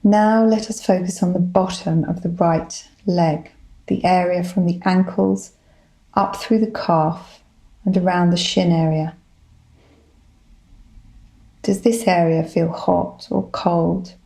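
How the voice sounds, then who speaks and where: A woman leading a guided body scan meditation, giving gentle, soothing instructions in a calm manner. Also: fairly low pitch, slow, long pauses, rough recording. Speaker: psychologist, guided meditation leader.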